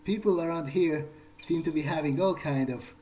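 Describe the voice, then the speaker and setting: A man thinking aloud in character during a game playthrough, musing and halting as if talking to himself. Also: on the high side, warm tone, slight background noise, rough recording. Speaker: gameplay video player.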